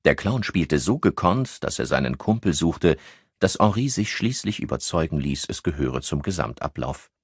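A man with a husky voice narrating a crime novel as an audiobook. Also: very deep voice, sing-song, moderate pace, no echo, clean studio sound.

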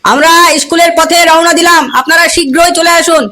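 A performer voicing an eager sidekick of a village leader in a comic cartoon, rallying and deferential, with a pitched-up voice. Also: steady tone, brisk, pushed and bright. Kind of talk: comic cartoon skit dialogue.

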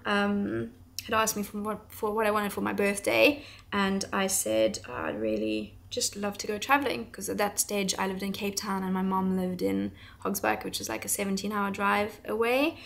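A woman's voice: medium pitch, gravelly. A woman in her thirties from South Africa, her accent tinged with English, chatting to camera in a rambling, casual way while working at her bench at home. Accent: South African with some English. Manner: rambling, chatty, laughs often. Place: home workshop, small room indoors.

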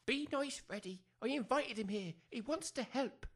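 A woman's voice: fairly high pitch, lively intonation, normal speed. A woman playing an exasperated working-class wife and mother with an English accent, scolding in colloquial speech in a comic audio drama. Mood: hushed pleading. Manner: nagging, colloquial.

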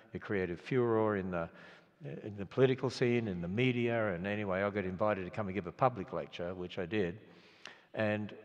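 Older Australian man preaching in a hall. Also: fairly low pitch. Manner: conversational, earnest, many restarts. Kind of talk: storytelling sermon. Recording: lo-fi sound in a reverberant hall.

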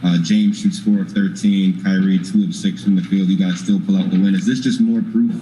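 Flat, dark-voiced sports reporter. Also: male voice, low-pitched, brisk, slight background noise, low-quality recording. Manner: flat, listing statistics matter-of-factly. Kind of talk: asking a press conference question.

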